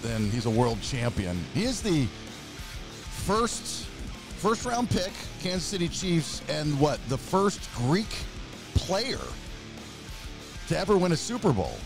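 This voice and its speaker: A man's voice: fairly high pitch, sing-song, measured pace, music in the background. A man in his forties hosting a podcast interview, enthusiastic and chatty, speaking into a studio mic in a home studio. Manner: enthusiastic, talks with his hands. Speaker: podcast host. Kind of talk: casual interview chat. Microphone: studio mic with headphones.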